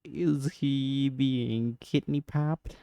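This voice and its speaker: A man's voice: medium pitch, fairly even intonation, drawn-out words, warm tone, clean tone, no room sound. A man doing casual, playful reaction commentary on a game, sometimes reading the on-screen text aloud. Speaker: gamer doing a playthrough.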